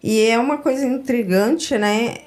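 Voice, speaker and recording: Woman host, studio interview; slow, soft, affirming delivery. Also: fairly high pitch. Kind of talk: interview conversation.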